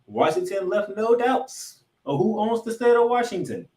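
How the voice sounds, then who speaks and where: A man hosting a sports podcast, giving excitable football commentary in an announcer-like style with drawn-out, emphatic phrases. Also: high-pitched. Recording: echoey room, music underneath.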